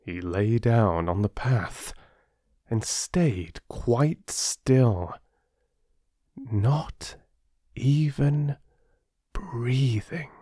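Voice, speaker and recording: A man working as a storyteller and host, speaking with measured, deliberate phrasing as if reading to listeners. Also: medium pitch, lively intonation, dark tone, breathy edge, no room sound, studio quality. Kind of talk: expressive storybook narration and listener address.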